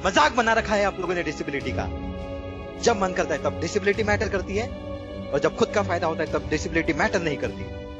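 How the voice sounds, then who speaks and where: A man's voice: high-pitched, animated, fairly quick. A man playing a bitter blind young man. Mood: angry. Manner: earnest, hurt, reproachful. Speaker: actor in a radio play. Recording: muffled recording with music behind.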